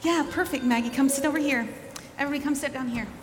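A woman speaks to young children in a church with long reverb. Her voice is a bit high, and she talks gently and informally, pausing between simple phrases. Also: noisy background. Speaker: church leader giving children's moment. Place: large indoor church.

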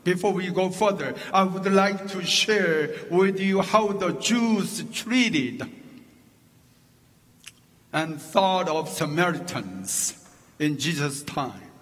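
A man with a Korean accent preaches a sermon from the pulpit, his first language being Korean. His voice sits high and he takes his time, building his points through deliberate lists. The sound carries the long reverb of a church sanctuary. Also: expressive, a bit bright. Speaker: pastor.

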